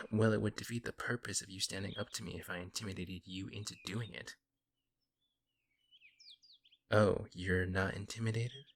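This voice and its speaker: Casting: male actor as teasing, protective werewolf shifter, lowish voice, casual playful delivery, intimate audio roleplay.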